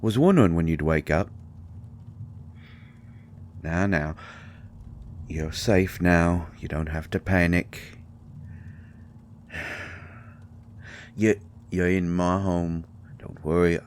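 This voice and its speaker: A man playing a slow, deep-voiced grumpy fairy healer. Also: darkish tone, a little hiss or hum. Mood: soothing. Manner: hesitant, exasperated, sighing, wryly sarcastic. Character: grumpy, secretive male fairy healer tending a vampire. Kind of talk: intimate roleplay audio acting.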